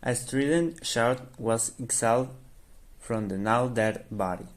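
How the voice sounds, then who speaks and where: A male narrator with a medium-pitched voice. He reads scripted storytelling that links the scenes of an amateur radio play. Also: measured pace.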